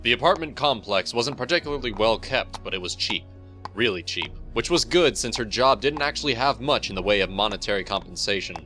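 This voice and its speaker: A man giving an expressive scripted narration of a story, as in an audiobook. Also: lowish voice, a little fast. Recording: music playing behind the voice.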